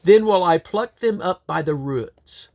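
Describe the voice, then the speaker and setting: A man's voice: sits high, animated, unhurried, a little mellow. A man reading scripture aloud in a solemn, declamatory way, with the occasional slip and correction. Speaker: Bible reader.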